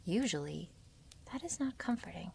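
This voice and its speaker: A woman voicing a wary, possessive young female werewolf in an intimate ASMR roleplay, breathy and half-whispered, with halting, formal phrasing. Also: fairly low pitch, big pitch swings, mellow, a little hiss or hum, full character voice. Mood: uneasy, guarded. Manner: halting, stiffly formal phrasing without contractions.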